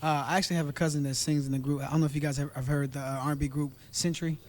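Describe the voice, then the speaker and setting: A man with a raspy voice, a guest singer talking casually in an interview, often trailing off with fillers. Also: on the high side, flat delivery, rapid-fire. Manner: laid-back, trails off with fillers.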